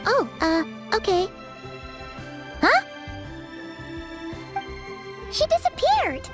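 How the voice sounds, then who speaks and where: A woman voicing a tiny, excitable and anxious childlike fairy companion with a squeaky voice in a video game. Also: extremely slow, music in the background, exaggerated voice. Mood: hesitant, pleading. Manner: refers to self in third person, exclamatory.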